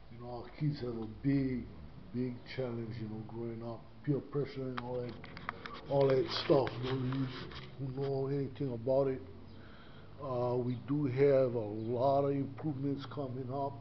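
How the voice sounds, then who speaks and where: A man's voice: medium pitch, flat delivery, normal speed. Older man, casual rambling walkthrough, body-cam, muffled audio with faint noise. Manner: rambling, many fillers and restarts. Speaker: church custodian vlogger. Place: inside a small church building. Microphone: body-worn action camera.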